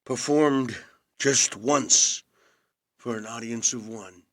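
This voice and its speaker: An actor in an audio play playing a charming but self-blaming movie star and estranged older brother, measured and quietly intense with dry teasing. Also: male voice, medium pitch. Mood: tender, subdued. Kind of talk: intimate audio play acting.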